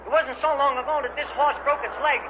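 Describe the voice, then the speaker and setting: A man's voice: very high voice, steady tone, fast talker. An American man giving horse racing commentary as a sportscaster. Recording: dull, noisy vintage radio recording.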